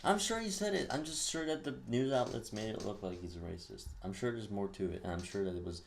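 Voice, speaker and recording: A young man with a very low, gravelly voice, co-hosting a casual podcast debate. He is laid-back, agrees readily, then pushes back on points. Faint noise hums behind him. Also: fast. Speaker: podcast co-host.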